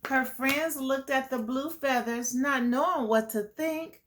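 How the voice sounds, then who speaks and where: A woman telling a children's story in an expressive, deliberate way, as host speaking to young listeners. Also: high voice, noisy background.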